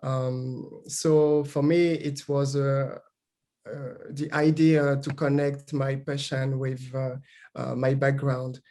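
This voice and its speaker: Flat-voiced French-speaking man from Gabon. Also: medium pitch, slow. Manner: hesitant, many fillers and restarts. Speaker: panel guest, founder of an art platform. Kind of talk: panel discussion.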